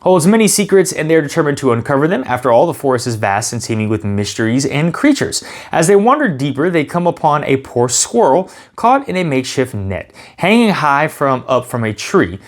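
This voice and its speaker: A man's voice: fairly high pitch, very animated, a little fast, few pauses. A man hosting a tabletop game tutorial in a casual style, talking viewers through each step and reading out story passages. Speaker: host teaching a board game.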